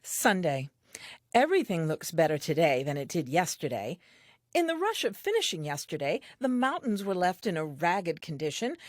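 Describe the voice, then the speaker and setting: A woman's voice: medium pitch, no echo, clean studio sound, close to an everyday voice. An actress playing a curious, earnest, newly created first woman, reading her diary aloud with naive, precise self-assurance in an audio play. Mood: earnest, appraising.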